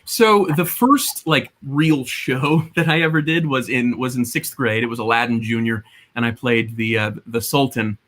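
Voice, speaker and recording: Young male guest from Hawaii, chatty and self-deprecating, bright voice. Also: medium pitch, moderate pace. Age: young adult. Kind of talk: casual interview chat.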